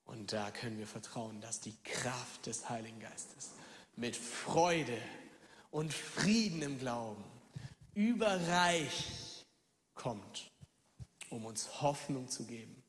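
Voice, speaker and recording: A pastor in his thirties from Germany preaching a lively sermon, moving from loud calls to hushed near-whispers and speaking straight to his listeners. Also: mid-range voice, slow pace, big-room sound, a little hiss or hum. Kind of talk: lively sermon with personal stories.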